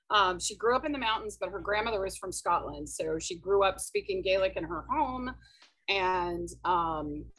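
A woman guest on a podcast talks about her writing at an easy, thoughtful pace, pausing often to gather her words. Her voice sits on the lower side and has a husky, breathy edge. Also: fairly bright tone, rough recording. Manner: thoughtful, hesitant with many ums. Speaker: author guest. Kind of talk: casual podcast interview.

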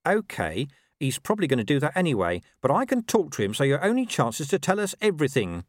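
A man's voice: fairly high pitch, wildly swooping pitch, quick pace, dry recording, clean studio sound, pitched above the performer's own voice. A man voicing a scruffy, wheedling talking dog in an audiobook, sly and colloquial. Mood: bullying, conniving. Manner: colloquial, sly, world-weary asides.

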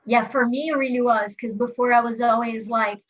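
Chatty young Brazilian woman, slightly higher voice. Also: steady tone, normal speed. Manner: chatty, frequent "like" fillers, laughs while talking. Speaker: musician guest. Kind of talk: casual interview chat. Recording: slightly dull lo-fi sound.

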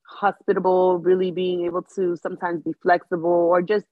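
A woman born in the Dominican Republic and raised in Maryland speaks earnestly on a podcast, pausing on fillers as she thinks. Her voice sits a little low. It comes through thin and tinny, like a phone line, with a dry, close sound. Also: little pitch movement, no room sound. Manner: earnest, thoughtful, hesitant with fillers. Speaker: guest, Airbnb host. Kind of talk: casual podcast chat.

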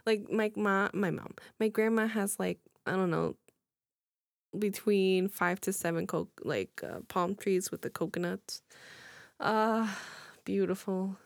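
A young woman with a gravelly, fry-tinged voice, chatting casually and teasing with a giggle. She talks at mid pitch, close to the mic, and the sound is dry. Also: measured pace, dry recording. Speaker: podcast co-host. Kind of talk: casual chat. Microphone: headphones on, desk mic.

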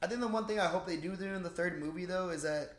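A young man co-hosting a podcast, chatting casually and excitably in slangy speech. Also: high voice, quick pace. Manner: slangy, excitable, says bro and dude often. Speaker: podcast co-host. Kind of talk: casual chat about geek movies. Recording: roomy, echoey sound.

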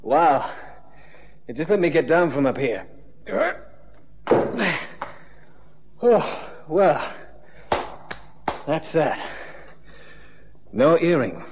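Wry radio detective, noisy recording. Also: male voice, fairly high pitch, lively intonation, slow, pauses often, darkish tone. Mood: nervous wisecracking. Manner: glib, hesitant wisecracks. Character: wry, wisecracking male private investigator. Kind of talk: 1960s radio drama acting.

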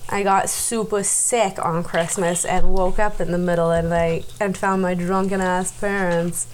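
A woman's voice: on the low side, little pitch movement, normal speed, slight background noise, rough recording. A Canadian woman co-hosting a podcast in casual banter, with a teasing, giggly, slangy way of talking. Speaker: podcast co-host.

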